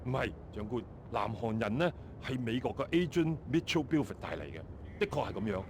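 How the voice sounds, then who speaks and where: A man's voice: medium pitch, expressive, brisk, background noise, lightly acted. An actor playing a dutiful Chinese army captain in a video game, deferential and assuring as he speaks. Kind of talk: scripted video game dialogue.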